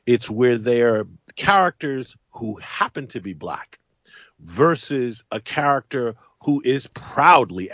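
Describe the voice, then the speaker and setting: A man talks with a very lively, swinging pitch, hammering his points home by repeating them. The sound is dry and a little dull, with no room in it. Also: no room sound. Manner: emphatic, repeats phrases for stress. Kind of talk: casual chat.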